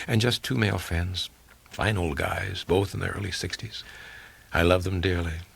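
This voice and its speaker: Man voicing a husky, sardonic, seductive film actress. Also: lowish voice, fairly bright tone, full character voice. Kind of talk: audiobook character dialogue.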